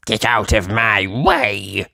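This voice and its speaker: Man putting on a haughty, pompous gentleman. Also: sits high, huge pitch swings, drawn-out words, dry recording, clean studio sound, voice pushed up, heavily acted. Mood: rude. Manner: snappy and haughty. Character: rude, pompous, self-important grown man.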